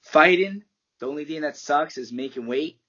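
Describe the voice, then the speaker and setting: A man talks through a candid, loose interview, rambling a little and swearing without a second thought. His voice has a husky edge to it, and the call audio is muffled and lo-fi. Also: on the high side, moderate pace, fairly bright tone. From United States. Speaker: MMA fighter and martial arts teacher. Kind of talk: casual interview answers.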